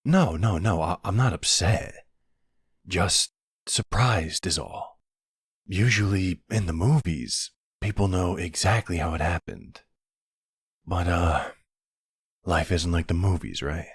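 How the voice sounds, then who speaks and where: A man playing a supportive, puzzled boyfriend in an intimate audio roleplay, speaking in a hesitant, gently questioning way with a husky voice. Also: sits low, slow pace, pauses often, dry recording, studio quality, heavily acted. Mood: surprised, curious.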